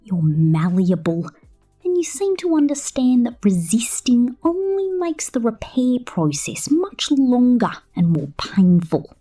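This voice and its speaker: A woman playing a possessive, condescending mechanic captor in an ASMR roleplay, speaking softly and half-whispered with playful menace. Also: fairly high pitch, big pitch swings, soft background music. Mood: teasing affection. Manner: soft, half-whispered, slow, teasing.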